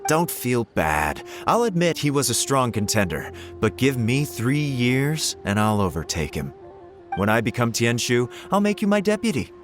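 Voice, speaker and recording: A male actor as a self-assured young man in a video game, hot-headed and brash. His mid-pitched voice swings up and down with lively intonation, over faint music. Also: moderate pace, natural voice. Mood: cocky, encouraging. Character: confident, hot-headed young male civil-service candidate. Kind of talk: scripted video game character dialogue.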